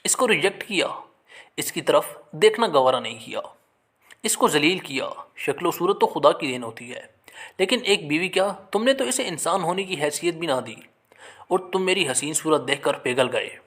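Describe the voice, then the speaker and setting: A man's voice: fairly high pitch, animated, moderate pace, a bit bright. A man voicing a bitter, grieving young wife in a story, accusing her husband with reproach.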